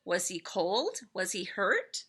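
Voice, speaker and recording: A middle-aged woman reading a children's story aloud in an expressive, deliberate storytelling manner. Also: mid-range voice, husky. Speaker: elementary school staff member reading aloud to pupils.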